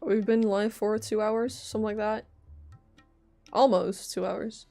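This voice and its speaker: Female streamer, medium-pitched, chatty live gameplay commentary, thinking out loud, soft game music underneath. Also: steady tone, a little slow, gravelly. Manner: musing aloud, informal, reactive. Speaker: game livestreamer.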